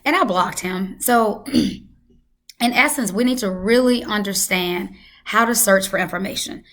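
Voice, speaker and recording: Hoarse lady chatting to her viewers, gets emphatic, repeats herself for effect. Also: medium pitch, a little slow, fairly bright tone. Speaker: health channel host. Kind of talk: casual talk to camera.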